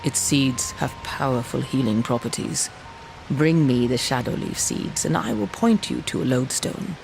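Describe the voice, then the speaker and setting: A man playing an aloof, bargaining quest giver in a video game, measured and formal with a gravelly edge. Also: medium pitch, a little mellow, natural voice, poor audio. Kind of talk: video game cutscene acting. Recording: music behind the voice.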